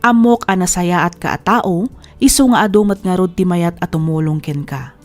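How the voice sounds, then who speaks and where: A woman's voice: fairly low pitch, moderate pace, lightly acted. A woman playing a reflective, grateful storyteller, narrating an audio drama in the first person. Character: reflective, grateful woman living with long illness. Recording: music bed under the voice.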